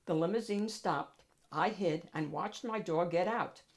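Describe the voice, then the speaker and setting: A woman reads a children's picture book aloud as host, with expressive, dramatic emphasis. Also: on the low side, unhurried. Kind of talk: animated storybook narration for children.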